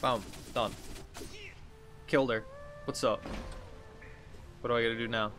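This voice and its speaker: A man's voice: medium pitch, fairly even intonation, unhurried, a bit bright, a little hiss or hum. A man in his thirties giving casual, rambling let's play commentary, thinking aloud as he plays. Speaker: gaming video host. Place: indoors at a computer desk.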